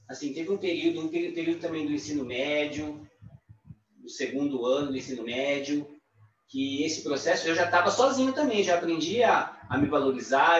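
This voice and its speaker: A man with a Brazilian accent voicing a signed talk, halting, with restarts and tag questions. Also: a little high. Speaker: sign language interpreter. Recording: distant echoey lo-fi livestream audio.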